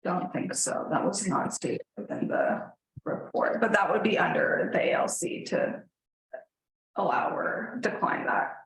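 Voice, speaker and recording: A woman's voice: mid-range voice, a little slow, creaky, in a whisper. Echoey woman reading a planning report. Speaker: municipal staff planner presenting a report. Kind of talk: formal report then answering questions. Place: council chamber. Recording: very echoey council chamber.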